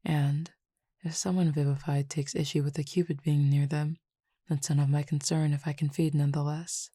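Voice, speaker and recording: A woman voicing a calm cupid roommate who feeds on desire, in an audio roleplay spoken to the listener, formal, measured and quietly teasing. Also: sits low, breathy edge, no echo, studio quality. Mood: languid teasing.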